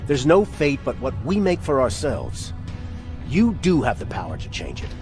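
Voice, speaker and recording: An actor playing a solemn, resolute resistance commander in a video game, speaking in a deliberate, measured way. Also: male voice, medium pitch, huge pitch swings. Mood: solemn, encouraging. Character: grave, resolute male resistance commander in a future war. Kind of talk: dramatic video game acting. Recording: game dialogue over loud music.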